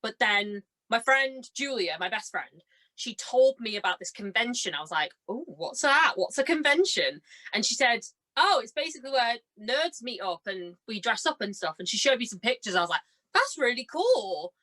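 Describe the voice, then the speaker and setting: A woman's voice: on the high side, sing-song, moderate pace, bright, forceful tone. A young woman in her twenties, a podcast guest, chatting casually and enthusiastically, telling anecdotes and acting out what people said. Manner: bubbly, giggly, enthusiastic storytelling with acted-out quotes. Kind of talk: casual chat, animated anecdotes.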